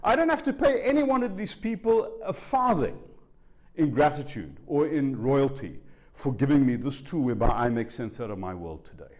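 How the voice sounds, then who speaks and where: Animated man in his sixties. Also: medium pitch, normal speed. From South Africa. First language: Afrikaans. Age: 60s. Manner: animated, conversational, asks the audience rhetorical questions. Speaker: spiritual teacher giving a lecture. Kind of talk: public talk to a live audience. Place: university lecture room.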